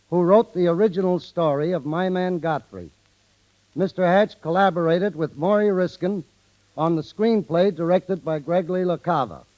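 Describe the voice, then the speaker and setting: 1930s radio guest exec guy, high voice, stiff formal reading, dull old recording. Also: darkish tone. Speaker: guest film studio executive.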